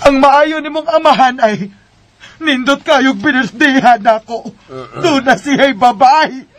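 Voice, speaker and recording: A woman's voice: high voice, slow pace. An actress playing an angry, betrayed middle-aged mother with a Cebuano accent, speaking in bitter accusations. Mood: furious.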